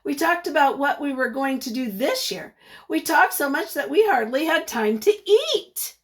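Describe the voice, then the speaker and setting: Lady teacher doing a kids read-aloud, kinda high voice, expressive, asks the little listeners questions. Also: normal speed. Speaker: teacher reading a picture book aloud.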